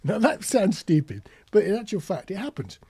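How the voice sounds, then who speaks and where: A British man gives an informal hands-on lesson in a plain-spoken, explanatory way. His voice sits fairly high and is lively in pitch, with a husky grain. He talks like an instructor who illustrates points with anecdotes. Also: normal speed. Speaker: marine radio instructor. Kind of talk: informal spoken tutorial with practical demonstrations.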